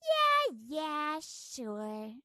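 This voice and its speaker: A woman's voice: high-pitched, huge pitch swings, extremely slow, sharp tone, no room sound, clean studio sound, exaggerated voice. A woman voicing a spoiled, brazen young little sister in a manga dub, flippant and entitled. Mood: dismissive. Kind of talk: scripted manga dub dialogue.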